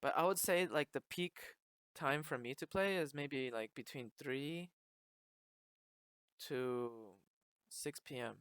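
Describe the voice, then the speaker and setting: Smooth-voiced man hosting a podcast. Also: on the high side, slow, long pauses, fairly bright tone, no echo, studio quality. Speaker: podcast host. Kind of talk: casual conversational interview.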